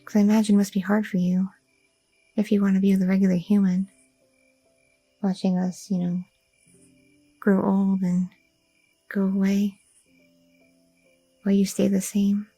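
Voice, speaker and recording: A tender, rambling young goth girlfriend, as a woman acts her out speaks in a dark, mellow voice with a gravelly, husky edge. She talks softly and intimately, right up close to a dry, close microphone, while faint music plays behind her. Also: mid-range voice, little pitch movement, moderate pace, long pauses, no room sound, poor audio. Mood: tender, candid. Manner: soft, intimate, rambling with many fillers. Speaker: ASMR roleplay performer. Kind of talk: ASMR audio roleplay.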